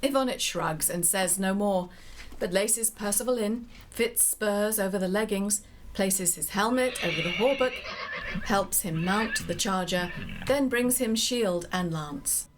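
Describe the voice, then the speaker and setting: A woman's voice: medium pitch, measured pace, noisy background. A woman narrating a scripted story in a dramatic storytelling style. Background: music.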